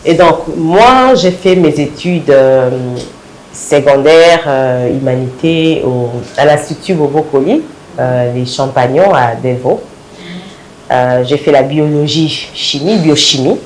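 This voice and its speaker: Hoarse woman with a cold, halting. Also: low-pitched, darkish tone, echoey room, slight background noise, rough recording. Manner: halting, many fillers and restarts. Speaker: guest, party women's league coordinator. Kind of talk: hesitant interview answers.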